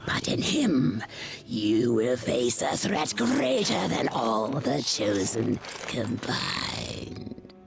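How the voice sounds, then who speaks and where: A woman's voice: very deep voice, big pitch swings, very slow, creaky, full character voice. An actress voicing a malevolent, gloating spider queen in a video game, dramatic and taunting. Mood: ominous. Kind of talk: scripted video game villain dialogue. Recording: loud music behind the voice.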